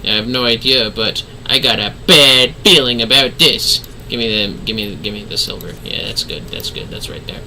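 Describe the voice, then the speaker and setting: A man's voice: mid-range voice, moderate pace, hardly any pauses, sharp tone, a little hiss or hum, low-quality recording. Offhand man streaming a video game. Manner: offhand, wry, slangy. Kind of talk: casual, muttered livestream game commentary.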